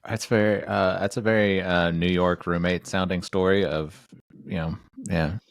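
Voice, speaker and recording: Keep it loose and halting, a low-voiced guy with plenty of "uh" and "like", close and dry. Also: steady tone, vocal fry, no room sound. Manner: halting, many fillers and restarts. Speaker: podcast host. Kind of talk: casual interview chat.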